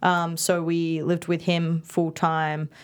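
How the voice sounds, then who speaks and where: A woman's voice: lowish voice, level tone, slow pace, smooth. A young woman from Bali, whose first language was Indonesian, speaking as an interview guest in a chatty, casual way with many fillers and restarts. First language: Indonesian and Balinese.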